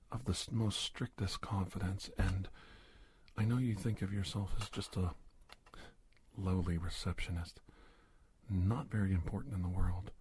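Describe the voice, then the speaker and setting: A man playing an earnest, hesitant secret-agent doctor in a soft-spoken ASMR roleplay, halting, confiding and gently coaxing. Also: on the low side, slightly rough, full character voice. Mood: confiding, grave.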